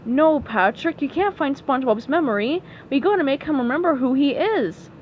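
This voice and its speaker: A woman's voice: high voice, lively intonation, normal speed. A woman voicing an exasperated, no-nonsense female squirrel from Texas in a children's storybook reading. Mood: determined. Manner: emphatic, no-nonsense. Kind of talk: read-aloud children's cartoon storybook. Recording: dull, noisy recording.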